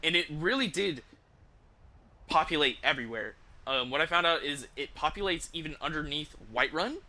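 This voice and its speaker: A man's voice: a little high, animated, moderate pace, sharp tone. A man giving casual live stream commentary as a game streamer, talking in an informal, enthusiastic, rambling way.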